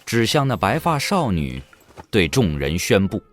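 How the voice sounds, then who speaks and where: A man's voice: fairly low pitch, very animated, a little slow. A man reading scripted, dramatic narration for a fantasy audio drama.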